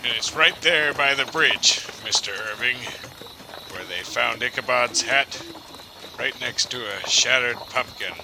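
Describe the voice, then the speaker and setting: Casting: male actor as solemn village tale-teller, audio drama, slow and measured, clear outdoor noise behind. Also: medium pitch. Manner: measured storytelling. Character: local man solemnly recounting a village legend.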